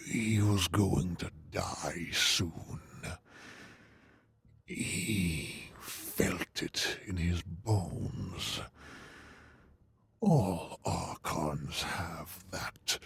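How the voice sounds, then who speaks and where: Actor as a slow, creaky, ominous alien advisor. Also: male voice, deep voice, dry recording, exaggerated voice. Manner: halting, ominous, hushed delivery. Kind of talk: audio drama acting.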